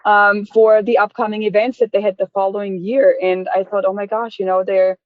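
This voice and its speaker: A woman's voice: medium pitch, flat delivery, a little fast, dry recording. A woman, telling her story as an interview guest in a chatty way, full of restarts. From Austria. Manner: chatty, many restarts and fillers. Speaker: guest, chocolate business owner. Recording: dry tinny phone-like line.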